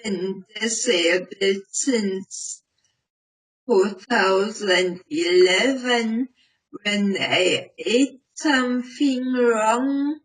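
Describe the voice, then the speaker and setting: Very slow, tearful woman in her thirties. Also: mid-range voice. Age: 30s. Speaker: interviewee, textile designer. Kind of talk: documentary interview. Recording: far from the mic.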